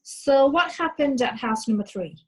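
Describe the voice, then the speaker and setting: A woman playing a brisk, formal lawyer questioning witnesses in a comic courtroom play. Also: high voice, lively intonation, a little mellow, low-quality recording. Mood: formal, probing. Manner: formal, inquisitive.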